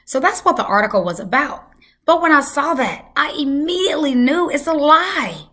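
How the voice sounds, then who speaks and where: A woman hosting a health channel, hoarse from losing her voice, talking casually and emphatically to her viewers. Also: high-pitched, lively intonation, moderate pace, bright, forceful tone. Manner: emphatic, repeats for effect. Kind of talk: casual talk to camera.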